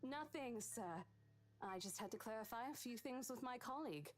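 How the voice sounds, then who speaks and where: A woman's voice: fairly high pitch, animated, normal speed, soft background music, natural voice. A woman voicing a poised, kind young saleswoman in a visual novel game, polite and reassuring. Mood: polite, composed.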